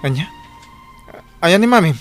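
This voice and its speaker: A man's voice: sits high, expressive, unhurried, music in the background. A man with an Ilocano accent playing a patient, hardworking middle-aged husband and father in a Filipino radio drama. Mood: gentle, fatherly.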